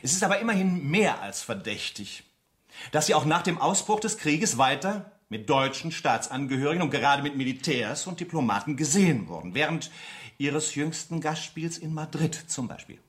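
Stern interrogator with sweeping, emphatic intonation. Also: male voice, fairly high pitch, expressive, normal speed, fairly bright tone. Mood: insistent, sardonic. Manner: formal, insistent, sardonic, emphatic. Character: stern male French military interrogator, accusing and relentless. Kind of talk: German radio play acting.

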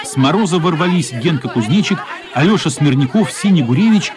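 An actor narrating a children's adventure story as a scripted radio play. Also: male voice, fairly high pitch, expressive, fairly quick, crowd noise, lightly acted.

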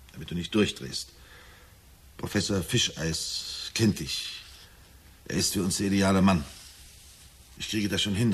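An actor playing a gloomy middle-aged husband in a German radio drama, speaking in a measured storytelling way with dry irony. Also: male voice, deep voice. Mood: agitated. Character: gloomy middle-aged husband recounting a nightmare, wryly forbearing.

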